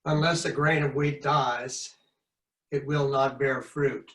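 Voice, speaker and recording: A man's voice: medium pitch, level tone, slow pace, fairly bright tone. Man, audience member, mid-range voice, tentative questioning, echoey room, off the microphone. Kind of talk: tentative question in a group discussion. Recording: roomy, echoing, away from microphone.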